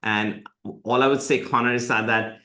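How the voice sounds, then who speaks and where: Man, middle-aged, from India, Hindi native speaker, animated storyteller, mid pitch, echoey room. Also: moderate pace. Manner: animated storytelling, quotes others in lively voices. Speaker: tech company CEO, guest. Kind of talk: live webinar interview.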